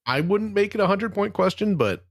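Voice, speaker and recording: A man chats casually as a podcast panelist. His mid-pitched voice moves up and down in a lively way. The sound is dry. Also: moderate pace, dry recording. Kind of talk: casual chat.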